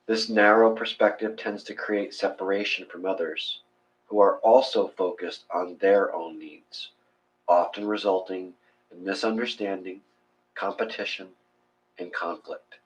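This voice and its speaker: Guy with lowish voice doing guided meditation, gentle and measured, reading it out. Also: steady tone, low-quality recording.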